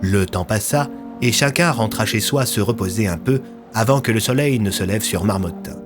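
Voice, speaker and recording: A man's voice: fairly low pitch, normal speed, music in the background. A man narrating a scripted audio fiction as its storyteller.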